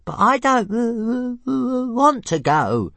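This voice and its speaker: Storybook creature: a tiny stubborn dragon with a high squeaky stammer, sulky. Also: male voice, very animated, takes it slowly, vocal fry, a bit bright, no room sound, full character voice. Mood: whiny. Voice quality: heavy stutter, high squeaky voice. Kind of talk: audiobook character voice.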